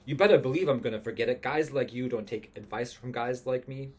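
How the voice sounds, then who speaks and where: A man's voice: medium pitch, fairly quick, a little mellow, husky, lightly acted. A man voicing a cocky, menacing 1920s gangster who talks in slangy tough-guy threats, read from a musical script. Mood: threatening.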